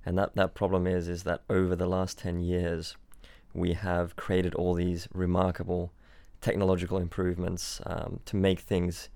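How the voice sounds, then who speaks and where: A man's voice: low voice, monotone, moderate pace, gravelly, few pauses, warm tone, no room sound. A young man born in Switzerland and raised in Australia, a startup founder chatting casually as a podcast guest, often repeating words and restarting sentences. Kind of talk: casual interview chat. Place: London.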